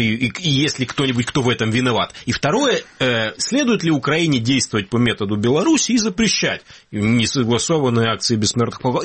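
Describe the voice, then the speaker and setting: Lively, fast-talking male interview host. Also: medium pitch, husky. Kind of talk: broadcast interview questioning.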